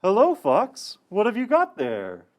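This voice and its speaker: An actor plays a caring forest animal in a puppet show for children. The delivery is gentle and very animated, the pitch rising and falling freely. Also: measured pace. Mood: friendly, concerned.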